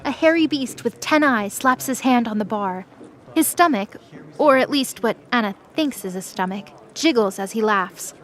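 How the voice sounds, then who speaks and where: A woman's voice: fairly high pitch, lively intonation, normal speed. A woman reading scripted story narration for a fantasy audio drama. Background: music.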